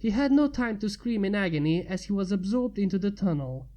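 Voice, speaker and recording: A woman's voice: medium pitch, lively intonation, fairly quick, dark tone. A woman narrating fan fiction as a story reader.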